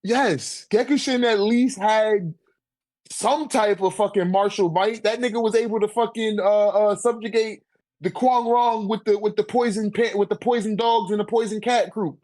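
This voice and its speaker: A man's voice: high voice, fairly even intonation, moderate pace, darkish tone, no echo. A man debating casually in profane slang, repeating his phrases for emphasis.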